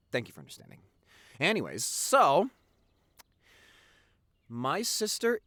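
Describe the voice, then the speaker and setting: A man's voice: mid-range voice, a bit bright, no room sound, natural voice. A man playing a teasing, silly, doting boyfriend who has just woken up, rambling and gushing in an intimate audio roleplay. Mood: soft, sincere. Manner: rambling, gushing, halting with repeated words.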